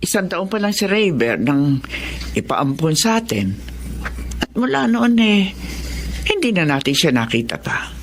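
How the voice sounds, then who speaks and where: Anxious mother, animated intonation. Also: fairly low pitch, unhurried, pauses often, slight background noise. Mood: worried, tearful. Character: anxious, loving adoptive mother and wife. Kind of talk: Tagalog radio drama acting.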